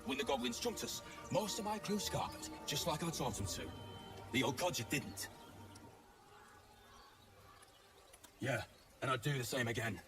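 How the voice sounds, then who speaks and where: An actor playing a gruff, cynical mercenary in a video game, with a British accent and a rough, sardonic, colloquial way of talking. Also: male voice, mid-range voice, animated, fairly quick, far from the mic, over music, natural voice. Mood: defensive, scornful. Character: gruff cynical male mercenary survivor of a slaughtered crew. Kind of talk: video game dialogue acting.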